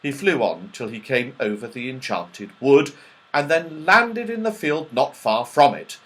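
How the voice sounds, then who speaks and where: A man reading a children's story aloud in an animated, carefully enunciated way, as an audiobook narrator. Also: medium pitch, a little slow, low-quality recording. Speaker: audiobook reader.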